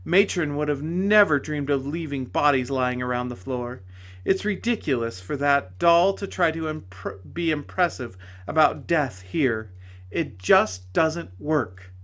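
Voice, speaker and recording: A man voicing a radiant, joyful woman spirit who speaks with gentle teasing. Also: on the high side, unhurried. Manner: bright, warmly teasing.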